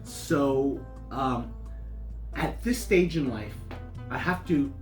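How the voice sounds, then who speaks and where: A man in his fifties muses in a slow, halting way, his pitch moving a lot as he restarts his thoughts. His voice sounds roomy, with clear guitar music playing behind it. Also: lively intonation. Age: 50s. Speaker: guest musician. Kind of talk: casual conversation.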